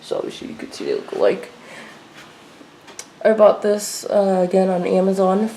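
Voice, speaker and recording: A woman's voice: mid-range voice, very animated, moderate pace, dark tone, slight background noise, poor audio. A woman giving a casual, unscripted show-and-tell in a plain, matter-of-fact way, recorded indoors at home. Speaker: video creator showing a radio collection.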